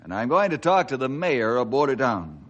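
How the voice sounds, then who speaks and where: Earnest, animated forest ranger hero. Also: male voice, mid-range voice, normal speed, lo-fi sound. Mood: friendly, earnest. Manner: earnest, authoritative. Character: upright, steady, righteous male forest ranger hero. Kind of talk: old-time radio adventure acting.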